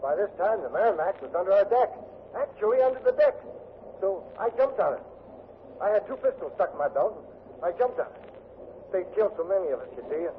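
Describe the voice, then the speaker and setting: An actor playing a modest, distraught young bosun's mate, talking in halting, colloquial phrases in a 1940s radio drama. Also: male voice, high-pitched, very animated. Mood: distraught, intense. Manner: halting, colloquial, self-effacing. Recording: muffled, slightly noisy 1940s radio recording.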